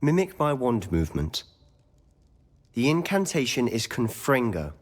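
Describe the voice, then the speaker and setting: An actor playing a confident, secretive teenage wizard schoolboy in a video game, speaking in a conspiratorial, self-assured way. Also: male voice, mid-range voice, very animated, unhurried, slight background noise. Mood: keen, instructive. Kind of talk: scripted game dialogue.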